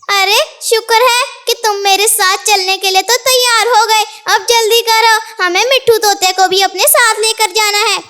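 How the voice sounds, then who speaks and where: A woman's voice: extremely high pitch, fairly even intonation, normal speed, bright, forceful tone. A woman voicing a friendly little female sparrow in a children's cartoon, earnest, coaxing and ready to scold her friends. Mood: friendly, urging. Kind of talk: Hindi children's cartoon dialogue.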